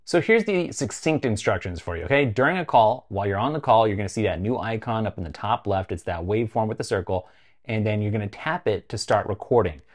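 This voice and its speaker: Man in his forties, North American accent, lowish voice, conversational tech host, casual delivery, emphatic key phrases. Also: animated, fast. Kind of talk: explainer vlog to camera, conversational. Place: small home studio room, indoors.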